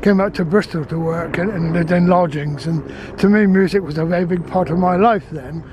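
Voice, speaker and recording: A man's voice: high voice, brisk, a crowd in the background. An older man in casual conversation as the interviewer behind a vlog camera, outdoors. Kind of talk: casual chat, reminiscing and questioning. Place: outdoors on a seafront.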